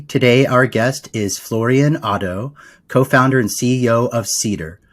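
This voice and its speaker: A man hosting a podcast, reading a polished intro and then interviewing a guest. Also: medium pitch. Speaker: podcast host.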